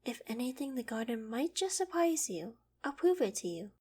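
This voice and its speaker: Woman acting a breathy, gentle young queen. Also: a little high, big pitch swings, moderate pace. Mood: playful, affectionate. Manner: soft, breathy, dropping into whispered asides. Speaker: voice actor in audio roleplay. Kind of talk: scripted ASMR roleplay acting.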